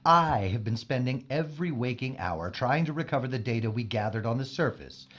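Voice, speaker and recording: A man acting a stiff, evasive spaceship scientist in a science fiction audio drama, formal, pompous and defensive, with a husky voice. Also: medium pitch, animated, fairly quick, fairly bright tone, muffled recording. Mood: condescending. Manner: pompous, formal, defensive, stammering when pressed.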